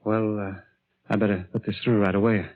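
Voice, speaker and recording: An actor playing a flustered, evasive office artist with a husky, stammering delivery in a 1940s radio drama. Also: male voice, lowish voice, flat delivery, brisk, natural voice. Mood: apologetic. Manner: hesitant, stammering. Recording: tinny 1940s radio recording.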